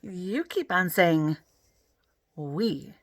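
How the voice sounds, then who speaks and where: A woman's voice: on the low side, animated, very slow, no room sound, clean studio sound, full character voice. A woman voicing a cool, level-headed schoolboy in a children's story, laid-back and matter-of-fact. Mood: dry, reproving.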